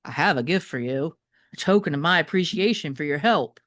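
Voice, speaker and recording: A woman's voice: sits low, lively intonation, a little fast, a bit bright. Woman reading a polite, formal robot dog. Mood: courteous, assured. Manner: formal, courteous, instructive. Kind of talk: children's novel read aloud.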